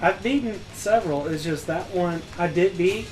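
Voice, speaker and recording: A man with a moderately high voice talks casually as a gaming co-host. Video game music runs behind his conversational commentary. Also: normal speed, poor audio. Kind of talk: casual chat over gameplay.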